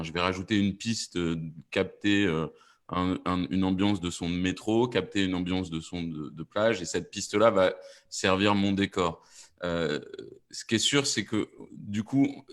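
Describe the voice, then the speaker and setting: Male producer, deep voice, enthusiastic explanatory panel talk. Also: fairly bright tone. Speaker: audio fiction producer on a panel.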